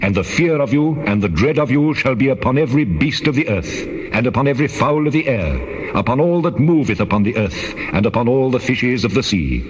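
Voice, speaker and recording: A solemn divine voice, performed by a man for a scripture drama, rings out through a long reverb. He declaims each decree in a measured, commanding way. The pitch is a middling male one, wrapped in heavy echo. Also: animated, lightly acted. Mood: solemn, authoritative.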